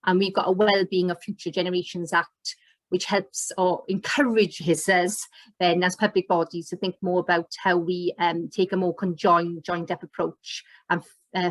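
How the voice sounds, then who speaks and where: A woman's voice: on the low side, no room sound. Enthusiastic Welsh woman presenting online. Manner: enthusiastic, loose with many fillers and restarts. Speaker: educator and presenter. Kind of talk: online course presentation over slides. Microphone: webcam on video call.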